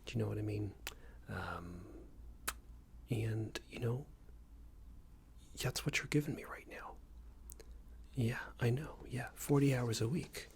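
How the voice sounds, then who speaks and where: Guy doing a passive aggressive boss asmr roleplay, soft breathy creaky voice, hesitant corporate rambling, bit of background noise. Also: medium pitch, steady tone, slow, pauses often. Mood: awkward, passive-aggressive. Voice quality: breathy, half-whispered, creaky. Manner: hesitant, hedging, condescending, rambling corporate small talk. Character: smarmy, passive-aggressive male company boss, entitled founder's son.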